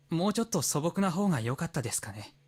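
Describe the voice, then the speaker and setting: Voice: mid-range voice, a little mellow, husky. An actor voicing a mature, reserved teenage boy in a Japanese visual novel, speaking with formal, hesitant politeness. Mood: polite, defensive.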